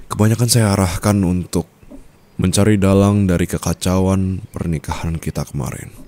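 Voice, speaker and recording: Man acting as deep, mellow, controlling mafia boss. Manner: measured, formal, coaxing with short pauses. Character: cool, controlling, teasing male mafia boss newlywed. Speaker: voice actor. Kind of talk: ASMR romantic roleplay acting.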